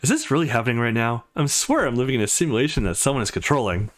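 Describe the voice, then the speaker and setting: A man's voice: medium pitch, expressive, racing, lightly acted. A man voicing an awkward, nervous young male protagonist of a visual novel, as if muttering his inner thoughts. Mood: exasperated.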